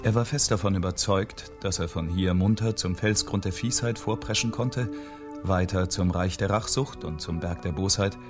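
An actor playing a storyteller, narrating a mock-epic quest in a scripted radio play. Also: male voice, deep voice, moderate pace, natural voice. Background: music.

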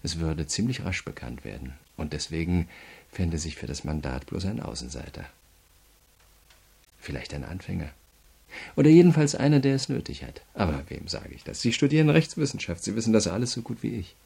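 An actor in a German radio crime drama playing a cool, relentless state prosecutor, gravelly, measured, methodical and coldly polite. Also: male voice, low-pitched, sing-song, warm tone, full character voice. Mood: calculating, persuasive.

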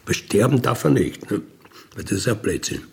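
Gravelly man, blunt storyteller. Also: fairly low pitch, animated, moderate pace, a little mellow. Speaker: ex-convict telling his experiences. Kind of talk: colloquial spoken monologue, recounting.

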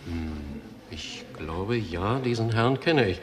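An actor playing a formal, hesitant hotel desk clerk in a 1960s German crime radio play. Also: male voice, low-pitched, lively intonation, takes it slowly, crowd noise. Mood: polite, hesitant. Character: polite, fussy male London hotel desk clerk. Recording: noisy old radio recording, clicks.

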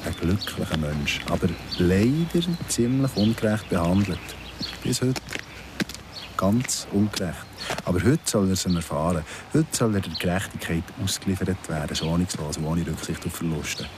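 Radio drama lead: embittered Swiss German ex-convict, low voice, halting and sardonic, noisy backdrop. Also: male voice, expressive. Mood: menacing irony. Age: 30s. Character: brooding ex-convict in his thirties, embittered, obsessed with justice. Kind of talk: radio play dialogue and monologue.

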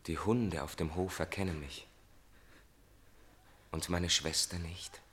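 A grave avenger of Greek tragedy, returned in secret, in a radio play. His voice is low yet forceful and tense. He declaims solemn, urgent lines in standard stage German. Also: fairly even intonation, slow. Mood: tense, resolute.

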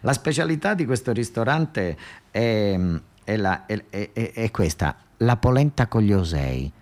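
A man's voice: on the low side, animated, unhurried, a little mellow. A man with a southern Italian accent performing a colloquial, satirical storytelling monologue, earthy and sardonic, with emphatic repetition and asides to his listeners.

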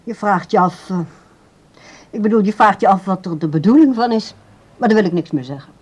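A cool and guarded grown woman, played by an actress, with a mid-pitched voice. She speaks in a measured, politely aloof way, as a character in a radio play. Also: a little mellow, husky, highs cut off, lightly acted. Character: cool, guarded adult daughter of an old man. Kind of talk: Dutch radio play acting.

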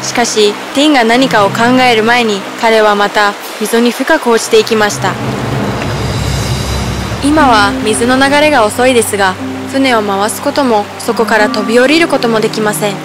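High-voiced woman narrating a fairy tale. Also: moderate pace. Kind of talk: scripted children's storybook narration. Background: music.

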